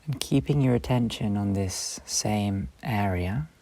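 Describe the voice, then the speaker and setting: A man leading a guided meditation, speaking slowly and gently in short, soft phrases with long pauses. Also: on the low side. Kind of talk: guided meditation, instructional and soothing.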